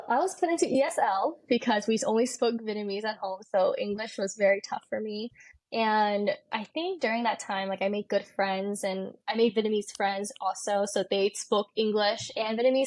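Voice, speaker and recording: A woman's voice: medium pitch, fairly even intonation, normal speed, darkish tone, no room sound. A woman in her twenties with an American accent, talking informally and candidly as a guest in a casual interview. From California, United States. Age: 20s. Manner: informal, candid, peppers speech with "like". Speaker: podcast guest, medical student.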